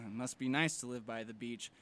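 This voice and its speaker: A man's voice: medium pitch, moderate pace, a bit bright, a little hiss or hum, close to an everyday voice. A man playing a supportive, jokey teenage boy, an earnest best friend in an audio drama. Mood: joking. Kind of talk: fiction podcast audio drama acting.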